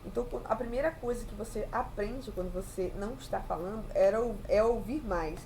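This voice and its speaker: A woman's voice: mid-range voice, fairly quick, noisy background. A young woman talking to her viewers in a casual, reflective monologue, chatty and often adding tag questions. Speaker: vlogger.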